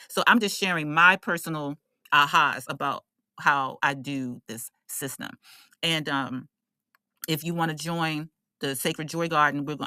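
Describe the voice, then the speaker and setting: A woman's voice: low voice, bright, forceful tone, no room sound, clean studio sound. A woman giving a casual astrology forecast as an online host, talking in an emphatic, conversational way with slang and rhetorical questions.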